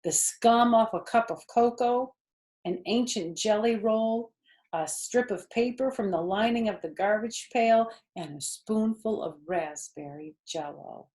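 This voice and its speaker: A woman's voice: on the high side, measured pace, darkish tone. A woman storyteller reading a children's book aloud expressively, with chatty asides to young listeners.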